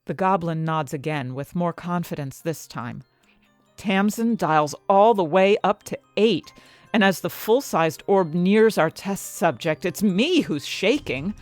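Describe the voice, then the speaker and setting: A woman playing an ambitious, conflicted scientist who narrates her own story with dramatic, deliberate phrasing. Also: lowish voice, expressive, no room sound, soft background music. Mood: tense narration. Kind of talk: first-person audio fiction narration.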